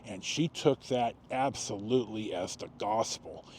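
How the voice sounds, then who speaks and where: An American man in his sixties telling a personal story casually, rambling with long pauses and self-corrections. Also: on the low side, a little mellow. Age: 60s. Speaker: video storyteller. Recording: noticeable background noise.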